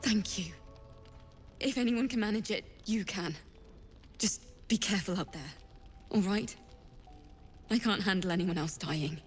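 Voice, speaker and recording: Game role: careworn woman refugee caring for kids, woman performer, sincere, average pitch, soft score underneath. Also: a little slow, long pauses, lightly acted. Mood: grateful, anxious. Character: grateful, careworn woman refugee looking after children. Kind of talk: video game character acting. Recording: music behind the voice.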